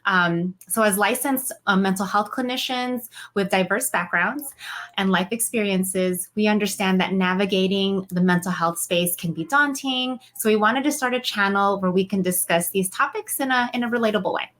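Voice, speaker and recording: A woman in her forties speaks at a middle pitch in a casual co-hosted chat. She talks in an easygoing way, fills her pauses with "um", and laughs easily. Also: fairly bright tone. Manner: frequent "um" fillers, laughs easily. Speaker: podcast co-host, clinical social worker.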